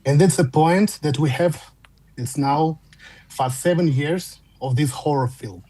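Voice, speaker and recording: A man with a Russian accent, calling in to a fan show, speaking English as a second language in halting phrases with frequent restarts. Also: fairly high pitch, warm tone. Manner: halting, repeats words and restarts while searching for English. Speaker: caller. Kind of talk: phone-in fan chat.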